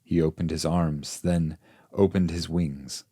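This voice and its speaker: A man reading a classic poem as literary audiobook narration, in a measured, solemn manner. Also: very deep voice, steady tone, mellow, dry recording, clean studio sound. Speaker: translator and reader of a classic poem.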